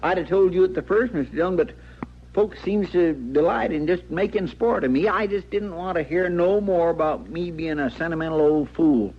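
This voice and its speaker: An actor playing a simple, kindly, easily flustered frontier deputy in a radio western, with a rural Western American accent and a hesitant, folksy, stammering way of talking. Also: male voice, fairly high pitch, normal speed, lightly acted. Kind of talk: 1950s radio western acting. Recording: muffled, noisy old radio recording.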